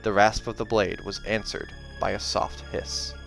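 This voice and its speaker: A man hosting a read-aloud, moving between expressive storybook narration and casual, chatty asides. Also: fairly low pitch, moderate pace, gravelly, rough recording. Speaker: reader and channel host. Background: soundtrack music.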